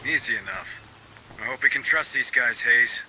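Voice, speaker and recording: A man's voice: mid-range voice, moderate pace, noisy background, lightly acted. An actor playing a dry-humored marine sergeant who barks orders at his squad with sarcastic asides, in video game dialogue. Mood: wry. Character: wry gruff male marine sergeant, middle-aged.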